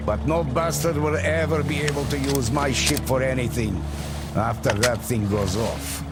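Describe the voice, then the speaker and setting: A man's voice: mid-range voice, lightly acted. An actor playing a weary, fatherly older submarine captain in a video game, speaking frankly and earnestly. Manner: frank, earnest, plain-spoken. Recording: loud music behind the voice.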